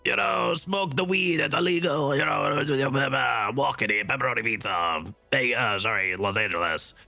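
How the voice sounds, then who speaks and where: A man's voice: mid-range voice, moderate pace, vocal fry, pushed and bright, no echo, faint music behind. A man doing a silly, joking put-on Californian accent, repeating catchphrases as a gag. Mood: goofy.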